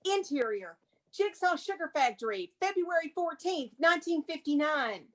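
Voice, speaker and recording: An actress playing a museum curator who narrates screenplay stage directions aloud. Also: female voice, high-pitched, animated, moderate pace, a bit bright. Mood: dramatic narration.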